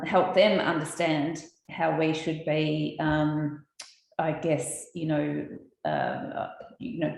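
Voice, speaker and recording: A woman speaking as a panelist, hesitant and full of "um" pauses. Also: low voice, vocal fry, darkish tone, very echoey, rough recording. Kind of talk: panel discussion.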